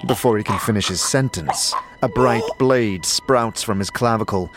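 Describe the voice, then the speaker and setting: A man narrating a fantasy audio drama with measured, theatrical storytelling in a dramatic scripted style. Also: fairly low pitch. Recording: prominent music behind the narration.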